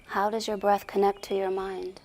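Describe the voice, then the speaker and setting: A woman leading a guided meditation in a soothing, deliberate way with long pauses, her soft voice often sinking toward a whisper. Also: medium pitch, flat delivery, slight background noise. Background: music.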